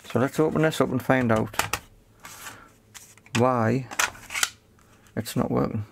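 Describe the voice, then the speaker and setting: A man's voice: mid-range voice, slow, gravelly, background noise. A man giving casual, unscripted commentary on a hands-on repair, thinking aloud in short phrases. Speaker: host of an electronics repair video.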